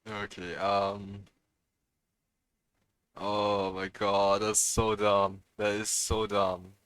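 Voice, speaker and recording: Guy streaming a game, kinda low voice, slow paced, casual muttering to himself, swears a lot. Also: flat delivery, a bit bright, dry recording. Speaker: live streamer playing a video game. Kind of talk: casual live gaming commentary.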